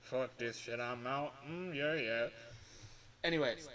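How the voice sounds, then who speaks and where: A man's voice: mid-range voice, a little slow, a bit bright. A man livestreaming in a casual, rambling way, sounding exasperated and self-mocking, and swearing freely. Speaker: livestreamer recording voice lines.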